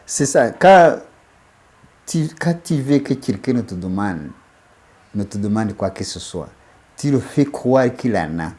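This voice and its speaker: A man's voice: fairly low pitch, lively intonation, unhurried, a little mellow. A man, a studio guest, giving emphatic political commentary, who often repeats and restarts his phrases.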